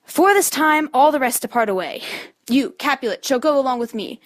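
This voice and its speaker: Woman playing an outraged, high-pitched commanding prince. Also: lively intonation, a little fast. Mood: stern. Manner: declamatory, imperious. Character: outraged commanding male prince rebuking brawlers. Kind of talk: amateur Shakespeare dramatic reading.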